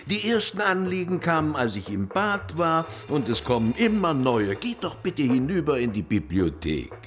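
Languid worldly patron of the arts, radio drama, highly animated pitch, rather high male voice, drawn-out delivery. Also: faint music behind. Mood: effusive, busy. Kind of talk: German radio play acting.

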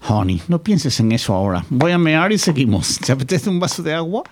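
A man's voice: fairly high pitch, big pitch swings, brisk, slight background noise. A man playing an affectionate, upbeat Scottish boyfriend in an audio drama, effusively dropping English endearments into his Spanish. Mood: amorous.

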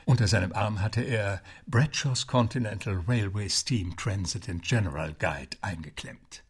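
An actor playing a genteel, wry butler who narrates a radio play and makes asides to the listener. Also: male voice, fairly low pitch, a little slow, slightly rough. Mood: measured storytelling. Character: James, a genteel English butler telling the tale.

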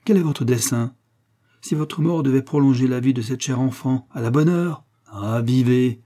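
A man's voice: medium pitch, moderate pace, warm tone, husky. A man voicing an imperious, theatrical society lady who declaims scornfully in a comic audiobook. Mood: cursing, theatrical. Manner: declamatory, mock-tragic. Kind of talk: comic literary audiobook dialogue.

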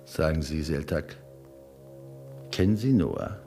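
An actor in a German radio drama playing a thoughtful, uneasy newcomer to a space city, reflective and reserved. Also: male voice, very deep voice, big pitch swings, slow, gravelly, music in the background, heavily acted. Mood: wondering.

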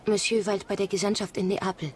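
Faint ambience lies behind a man acting a reserved household servant in a historical radio drama. The voice is high-pitched for a man. He is formal, polite and gives little away. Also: fairly even intonation, fairly quick, a little hiss or hum, close to an everyday voice. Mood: polite, guarded.

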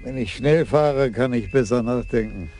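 A man's voice: medium pitch, moderate pace, warm tone, soft background music, lightly acted. A man voicing a dainty older male police commissioner who is polite but decisive.

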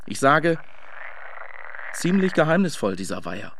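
A man playing a proud, lovesick nobleman in a German radio play, speaking intensely in deliberate phrases. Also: fairly high pitch, big pitch swings, vocal fry, fairly bright tone, a little hiss or hum, heavily acted. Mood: brooding. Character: lovesick, proud young nobleman suitor to a queen.

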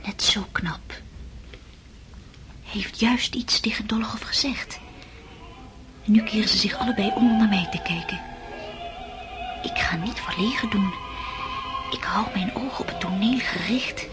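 An actress playing a young, impressionable countess in a radio play. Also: female voice, medium pitch, measured pace, long pauses, over music, natural voice. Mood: whispering. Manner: breathy whispered inner thoughts.

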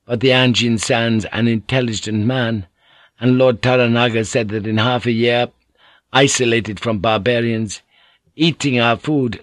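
A man voicing a composed, gentle noblewoman who explains things patiently. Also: mid-range voice, dry recording, pitched above the performer's own voice, close to an everyday voice.

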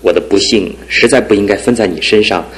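A man's voice: on the low side, brisk, lightly acted, poor audio. An actor playing a young groom who is bitter and brooding about his lame leg. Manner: brooding, self-pitying. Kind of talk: 1970s radio drama acting.

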